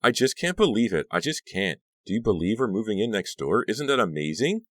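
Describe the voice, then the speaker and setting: A man's voice: lowish voice, very animated, normal speed, fairly bright tone, no room sound, studio quality, pitched above the performer's own voice. Storybook role: overexcited, needy teenage girl, played by a male narrator; lifted, swooping delivery, emphatic and gushing. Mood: overexcited, amazed. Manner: breathless gushing with emphatic repeats. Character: gushing, overexcited, clingy teenage girl new next door.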